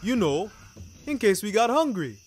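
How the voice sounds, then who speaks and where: A man plays an earnest, hapless landlord in an audio drama. His voice jumps up high and loud, easily startled and well-meaning, with music underneath. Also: very animated, moderate pace, breathy edge, exaggerated voice. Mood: sheepish.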